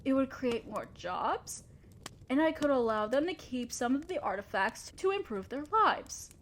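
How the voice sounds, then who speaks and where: A scheming evil queen, acted by a woman for a roleplay audio drama. Her voice sits on the high side, with an imperious, theatrical delivery. She talks down to her listener with haughty condescension and a begrudging fondness. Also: big pitch swings, a little slow, a little hiss or hum. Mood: pleased, plotting.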